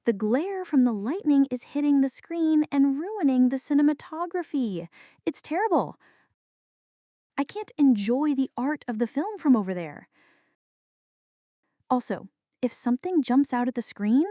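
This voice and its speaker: A woman's voice: high-pitched, dark tone, no room sound, clean studio sound. A woman acting a jumpy, flirty, dramatic friend in an ASMR roleplay, talking to the listener in a teasing, mock-indignant way. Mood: playfully bossy.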